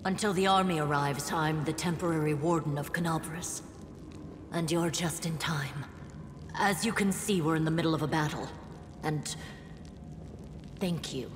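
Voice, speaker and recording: A woman's voice: sits low, unhurried, long pauses, noisy background. A woman playing a stern, resolute military commander in a fantasy game, with a formal, grave and commanding manner. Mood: grave, measured. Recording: echoey reverberant hall, game music behind.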